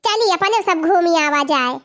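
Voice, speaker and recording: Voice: extremely high pitch, expressive, normal speed, fairly bright tone. A performer voicing a nagging, determined village wife in a comedy cartoon, scolding and complaining with an Awadhi accent. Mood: coaxing.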